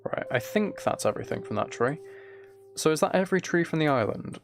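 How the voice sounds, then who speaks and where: A man's voice: on the high side, lively intonation, a little fast, over music. A man chatting casually and teasingly to his viewers while playing a game on a livestream.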